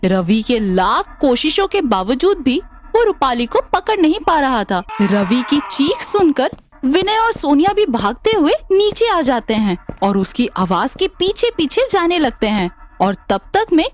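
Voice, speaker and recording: Female narrator for animated stories; high register, swooping intonation. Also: measured pace, a little hiss or hum. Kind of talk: scripted story narration.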